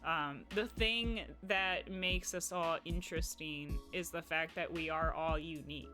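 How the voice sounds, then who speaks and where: A woman's voice: low voice, sharp tone. A young woman with a Midwestern accent talking casually to her stream chat in a rambling way, full of "like" and restarts. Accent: Midwestern American. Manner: chatty and rambling, heavy on "like" and restarts.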